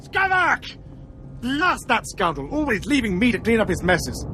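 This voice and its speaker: Pompous, lively-pitched villainous imperial commander. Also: male voice, unhurried, noisy background, heavily acted. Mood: exasperated. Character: haughty male imperial military commander, impatient villain. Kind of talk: video game cutscene acting.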